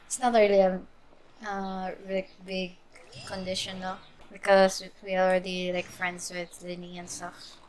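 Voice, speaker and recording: A woman comments casually as she streams a game. Her voice is on the low side and fairly flat. She reacts in halting, murmured remarks. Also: poor audio. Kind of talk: casual live playthrough commentary.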